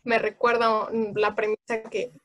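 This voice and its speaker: A woman, a guest in a casual conversation, explaining calmly. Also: a little high, measured pace, no echo.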